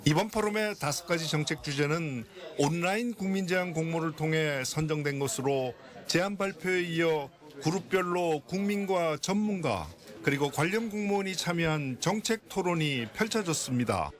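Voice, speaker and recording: A man's voice: on the high side, moderate pace, few pauses. A man narrating a scripted news report as a citizen reporter. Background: music behind the voice.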